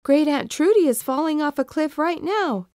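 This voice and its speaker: A woman voicing an excitable, earnest young male mountain goat in a storybook, exclaiming as he talks. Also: high voice, moderate pace, no room sound, studio quality, pitched above the performer's own voice. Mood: worried protest.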